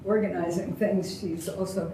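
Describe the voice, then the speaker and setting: A woman giving consecutive interpretation in English, hesitant with many fillers, her phrases trailing off softly. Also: lowish voice, fairly even intonation, unhurried. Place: university lecture hall. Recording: distant echoey hall with faint noise.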